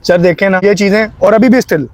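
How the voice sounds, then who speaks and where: Man, clearly high-pitched, Pakistani accent, earnest pleading host, conversational advice, frequent check-in tags, dry recording. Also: fairly even intonation, moderate pace, dry recording. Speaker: YouTube channel host.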